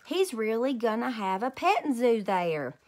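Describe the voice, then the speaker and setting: A woman voicing excitable, boastful little schoolgirls in a storybook, eager and hollering. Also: fairly high pitch, a little slow. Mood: eager boasting.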